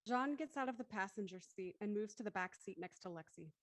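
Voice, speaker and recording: A woman's voice: mid-range voice, expressive, fairly quick. Female narrator for a scripted play, mid-range voice, reading scene directions.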